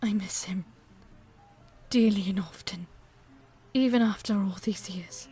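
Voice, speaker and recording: A woman's voice: medium pitch, measured pace, mellow, soft background music, close to an everyday voice. A woman playing an older, devoted, grieving widow of a composer, telling her story formally and wistfully in a period audio drama.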